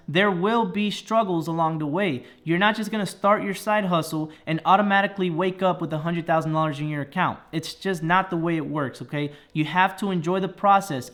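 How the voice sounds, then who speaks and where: A man in his thirties, originally from the Dominican Republic, speaks straight to his viewers. His voice sits fairly high for a man. He delivers an earnest, encouraging talk and keeps checking in with a quick "okay?" after each point. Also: a bit bright. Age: 30s. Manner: earnest and motivational, ends phrases with "okay?". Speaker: personal finance YouTuber. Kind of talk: motivational talk to camera.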